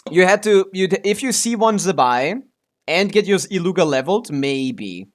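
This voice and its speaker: A man's voice: high-pitched, big pitch swings, moderate pace. A man giving casual live gameplay commentary as a streamer, offhand and sweary, full of gamer slang. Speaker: game streamer.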